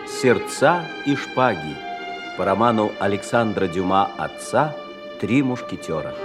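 A man's voice: on the low side, sing-song, a little slow. A man announcing a radio theatre play in a formal, dramatic style. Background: loud music.

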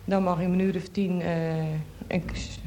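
A woman explaining casually, haltingly listing things item by item. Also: on the low side, level tone, dark tone, a little hiss or hum. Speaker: programme guest.

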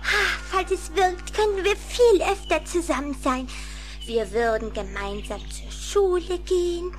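An actress playing an excitable, affectionate little vampire girl in a children's audio drama, with an expressive, dramatic delivery. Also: extremely high pitch, a little slow, soft background music. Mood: eager. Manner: expressive, giggly, dramatic sighing.

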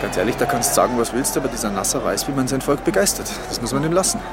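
An actor playing a boastful, enthusiastic German rocket expert, chatty and gushing, in a radio play. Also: male voice, mid-range voice, animated, very fast, very noisy, natural voice. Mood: impressed, excited.

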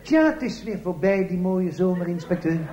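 A man's voice: high voice, expressive, moderate pace, warm tone, reverberant, muffled recording, a faint crowd. A man playing an eager, dutiful police sergeant who reports deferentially in a comic radio play. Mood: eager, deadpan.

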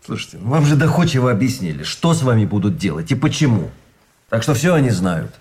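An actor in a Russian radio drama playing a wry, fatalistic captured soldier with a sardonic, pointed way of talking. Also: male voice, lowish voice, sing-song, a little fast, a little mellow.

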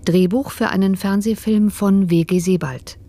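A woman's voice: medium pitch, steady tone, normal speed, mellow. A woman reading a scripted radio announcement of credits in a composed, formal manner.

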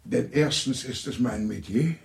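A man's voice: medium pitch, a little slow, creaky, a little mellow. A man playing a kindly, courteous old doctor in his seventies in a radio drama, speaking in a courtly, gallant manner.

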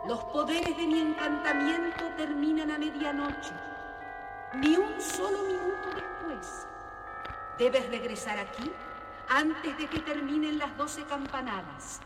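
A woman's voice: high voice, slow pace. An actress playing a kindly, bossy fairy godmother in a children's storybook drama, theatrical and instructing. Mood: urgent warning. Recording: vintage story record with music behind.

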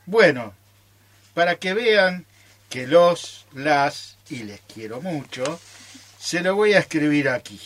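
Guy playing stubborn coy argentine man in a radio play, highish forceful voice, swoops around, takes his time. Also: animated. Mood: playful. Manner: coy, theatrical, drawn-out. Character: teasing, stubborn man guarding his secret recipe. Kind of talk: amateur radio theatre acting.

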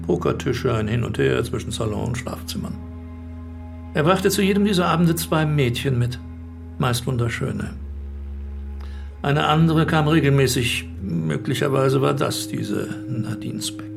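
A man playing a guarded, worldly bank director in a radio drama, with a creaky voice and polished, formal delivery. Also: mid-range voice, a little slow, faint music behind. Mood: confiding, suave.

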